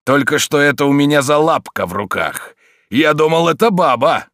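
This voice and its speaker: A man voicing a hulking ex-convict brute who talks in a coarse, menacing, shouting way, in an audiobook. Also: on the low side, sing-song, a little slow, no room sound, clean studio sound, voice pushed up. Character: brutish hulking male ex-convict bully, menacing.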